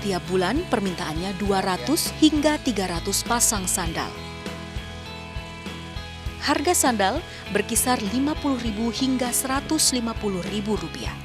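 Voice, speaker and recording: A woman reporter giving scripted narration for a local news feature in a measured, even reading. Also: medium pitch, over music.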